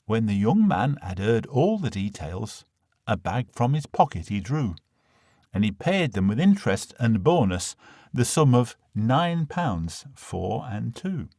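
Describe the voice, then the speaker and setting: A man's voice: mid-range voice, big pitch swings, a little slow, a little mellow, dry recording, clean studio sound. A man with a Northern English accent reading a comic dialect monologue in verse as a dramatic recital. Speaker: poetry reader.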